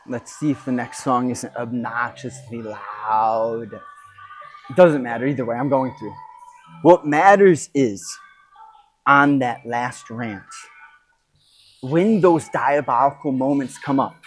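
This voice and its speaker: A man speaking off the cuff as a podcast host and coach, in an emphatic, halting motivational monologue with pauses and repetition. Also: a little high, slight background noise.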